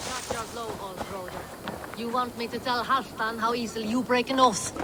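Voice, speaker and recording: A woman's voice: a little high, fairly even intonation, a little fast, very noisy, lightly acted. Woman playing a blunt Viking warrior woman. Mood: scolding. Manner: blunt, plain-spoken. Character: blunt, loyal adult female Viking warrior from the north. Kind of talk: dramatic video game acting.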